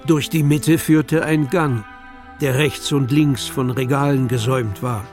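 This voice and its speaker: A man's voice: mid-range voice, fairly even intonation, measured pace, a little mellow. A man narrating a children's audio drama as a scripted storyteller. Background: music.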